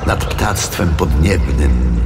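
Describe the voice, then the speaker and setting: An actor playing a solemn, commanding God, proclaiming deliberately in an audio Bible drama. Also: male voice, a bass voice, expressive, creaky, full character voice. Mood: majestic, blessing. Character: God the Creator, solemn, majestic, commanding male voice. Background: loud music.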